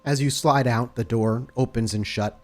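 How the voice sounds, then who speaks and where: A man working as game master, narrating a tabletop roleplaying scene in a deliberate, descriptive and dramatic way. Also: medium pitch, fairly even intonation.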